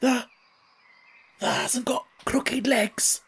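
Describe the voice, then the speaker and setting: A man voicing a grumpy old male gardener with a broad Yorkshire accent and a gruff, scolding manner, in a storybook reading. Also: very high-pitched, lively intonation, very slow, a bit bright, voice pushed up, heavily acted. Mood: shaky. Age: older.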